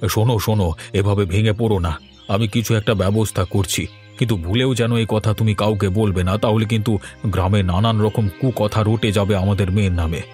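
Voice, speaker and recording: A man acts a worried middle-aged village father in a horror cartoon. His voice sits low and sounds grave and earnest. Soft music runs behind it. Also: steady tone, a little fast, lightly acted.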